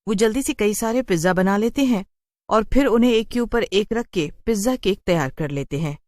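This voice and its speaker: Female narrator, scripted spooky story, lively intonation, mid pitch, close dry recording. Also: normal speed, no echo.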